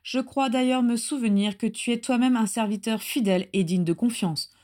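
Woman doing a smooth evil overlord guy, soft n slow, fake polite, looks down on everyone, fantasy story. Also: a little high, natural voice. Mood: silky contempt. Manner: soft, caressing, slow, falsely courteous. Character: male dark lord, silkily menacing, condescending.

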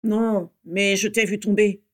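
A gruff, brutish wizard fighter, played by a woman reading an audiobook. He talks bluntly, with a sneer, in a rough storybook villain's manner. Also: mid-range voice, fairly even intonation, unhurried, natural voice.

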